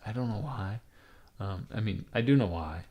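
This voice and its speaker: Gravelly-voiced man rambling to his chat. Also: on the low side, animated, moderate pace, mellow. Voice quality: raspy, gravelly. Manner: rambling, swearing, talks to chat while typing. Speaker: livestreamer. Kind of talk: rambling casual livestream chat. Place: at his computer.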